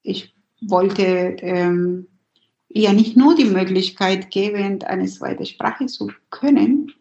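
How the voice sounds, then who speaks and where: A woman's voice: medium pitch, takes it slowly, vocal fry, a little mellow, roomy sound, lo-fi sound. A middle-aged woman whose first language is Spanish, speaking German in a thoughtful, hesitant interview with many fillers. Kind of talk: reflective personal interview.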